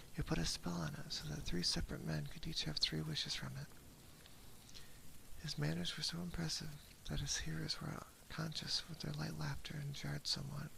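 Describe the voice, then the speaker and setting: A man softly reading a story aloud as ASMR, half-whispering close to a binaural microphone with a breathy voice. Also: fairly high pitch, a little hiss or hum, low-quality recording. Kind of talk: ASMR reading of a classic horror short story.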